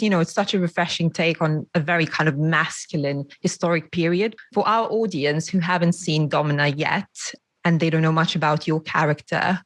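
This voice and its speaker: A woman's voice: on the low side, fairly bright tone, dry recording. A woman hosting an interview, chatty and friendly, full of "kind of" and "you know". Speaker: podcast host. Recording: dry close sound.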